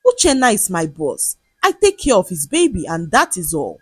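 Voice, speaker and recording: A woman voicing a gentle, weary, wronged wife in a folktale, speaking in a quiet, pleading manner. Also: lowish voice, huge pitch swings, moderate pace, husky, no echo, faint music behind, exaggerated voice. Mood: hurt, pleading.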